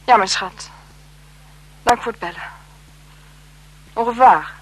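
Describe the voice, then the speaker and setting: A woman's voice: fairly high pitch, slow, slightly rough, low-quality recording. An actress playing a formal, guarded French marquise, a bored wife and mother, in a 1970s Dutch radio drama. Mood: affectionate, restless. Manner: formal, hesitant, evasive with her companion.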